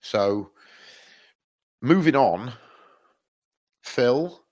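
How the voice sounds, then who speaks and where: A man's voice: medium pitch, animated, drawn-out words, a little mellow. A man co-hosting a podcast, chatting casually; he is congested from hay fever, rambles, restarts his sentences and swears freely. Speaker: podcast co-host. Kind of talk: casual chat.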